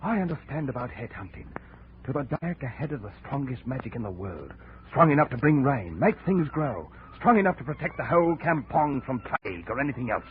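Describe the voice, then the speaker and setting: A man's voice: mid-range voice, very animated, fairly quick, lightly acted. A man playing a hard, bitter jungle guide in a 1950s radio drama, blunt, brooding and authoritative. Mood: bitter, defensive. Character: hard, bitter male jungle guide, ten years in Borneo. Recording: muffled, hissy 1950 radio recording.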